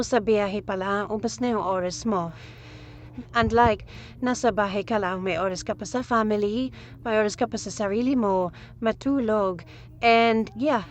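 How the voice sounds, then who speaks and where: A woman in her twenties with a Filipino accent, narrating a casual vlog in a chatty way and mixing in English phrases. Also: medium pitch. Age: 20s. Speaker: vlogger, ESL teacher working from home.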